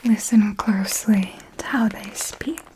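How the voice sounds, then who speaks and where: Slow, whispering female vampire mentor, acted by a woman. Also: medium pitch, steady tone, darkish tone, lightly acted, low-quality recording. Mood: calm, instructive. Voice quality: breathy whisper. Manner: slow, deliberate, formal, intimate. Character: elegant female vampire mentor, possessive, fond, calmly commanding. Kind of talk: whispered ASMR roleplay. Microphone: close microphone.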